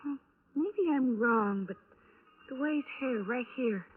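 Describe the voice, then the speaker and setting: A woman's voice: a little high, slight background noise, natural voice. An actress playing a guarded, uncertain female witness, hesitant and defensive, in a 1950s radio crime drama. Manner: hesitant, halting. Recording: restored 1950s radio, narrow band.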